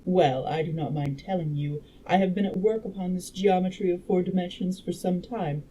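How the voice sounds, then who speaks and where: Lady doing a victorian gentleman scientist from a novel, sure of himself, explaining stuff, soft dark tone. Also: fairly low pitch, steady tone, normal speed, lightly acted. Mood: didactic, assured. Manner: didactic, assured. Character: confident Victorian gentleman inventor lecturing on his theory. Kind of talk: audiobook-style character reading. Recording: noisy room background.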